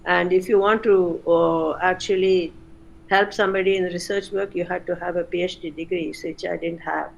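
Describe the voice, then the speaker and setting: An older woman, a retired chemistry professor recalling her career in an interview, unhurried with frequent pauses. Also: lowish voice, steady tone, vocal fry, a little hiss or hum, low-quality recording. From India. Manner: unhurried, many "uh" pauses, self-corrects. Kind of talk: reflective interview answers.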